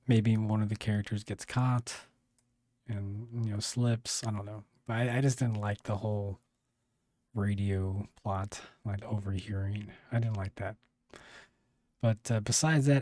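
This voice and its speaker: A man with a hoarse, failing voice reviewing a TV episode in a casual, rambling way, often clearing his throat. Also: lowish voice, warm tone, dry recording, clean studio sound. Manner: rambling, many fillers and restarts. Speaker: video reviewer.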